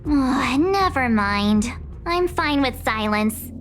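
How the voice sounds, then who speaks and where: Very high, excitable girlish companion. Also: slow pace, soft background music, heavily acted. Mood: put off. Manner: expressive, dramatic, informal. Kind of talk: video game voice acting.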